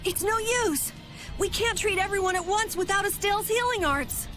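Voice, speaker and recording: A woman's voice: very high voice, moderate pace, music in the background. An eager, earnest young boy.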